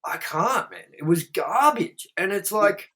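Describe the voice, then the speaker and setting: A man's voice: high voice, expressive, a little slow, bright, forceful tone. A man co-hosting a podcast, talking casually and at length, rambling with lots of fillers and swearing. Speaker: podcast co-host. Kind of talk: casual chat.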